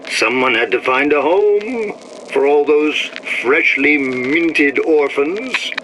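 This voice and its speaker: An actor voicing a vain, malicious male artist in a video game audio diary, with a florid, grandiloquent delivery. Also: on the high side. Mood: smug, self-justifying. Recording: noisy vintage audio-diary recording.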